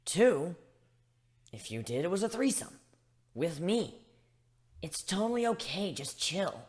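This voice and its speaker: A man's voice: high voice, big pitch swings, measured pace, pitched above the performer's own voice. A man voicing a blunt, unbothered female griffin in a story reading. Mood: nonchalant. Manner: casual, dismissive.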